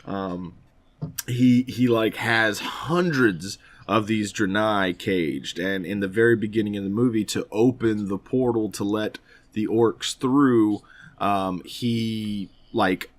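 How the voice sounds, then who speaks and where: Male co-host, lowish voice. Informal, meandering, slangy fan talk with profanity and detailed opinions. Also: takes it slowly. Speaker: podcast co-host. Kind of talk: casual chat about films and games.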